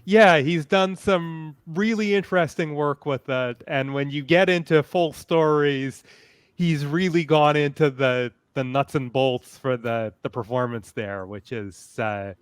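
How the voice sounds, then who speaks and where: A man speaking as a panelist in a casual discussion, analytical and detailed in a measured way. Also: on the high side, clear, resonant tone, no echo, studio quality.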